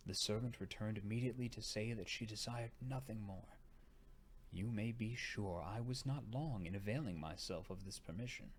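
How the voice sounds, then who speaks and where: A man narrating a gothic novel as an audiobook reader, unhurried and dramatic, sometimes sinking into breathy near-whispers. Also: fairly low pitch. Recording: noticeable background noise.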